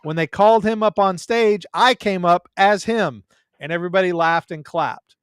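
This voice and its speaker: A man with a Southern US accent chats as a guest, poking fun at himself as he tells stories. His voice sits a little high and comes across smooth and resonant. The sound is close and dry, with no room in it. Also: normal speed, dry recording. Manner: self-deprecating, joking storyteller. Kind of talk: casual chat.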